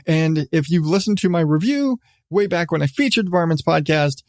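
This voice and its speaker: A middle-aged man hosting a casual solo podcast, talking in a rambling, halting way with repeated restarts. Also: a little high, expressive, no room sound, studio quality. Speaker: podcast host.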